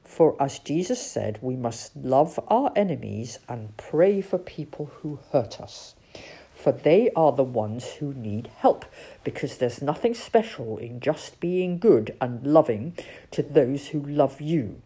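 A wise old owl from a storybook, voiced by a woman, speaks slowly and gravely. The tone is mellow and kindly, with a gently admonishing air. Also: rumbling low, big pitch swings, voice pushed down, exaggerated voice.

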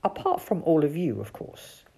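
A woman voicing a timid, excitable young male toad in a storybook, with fretful, stammering delivery. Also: moderate pace, creaky, breathy edge, heavily acted, rough recording. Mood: eager, wistful. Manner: stammering, squeaky fretting.

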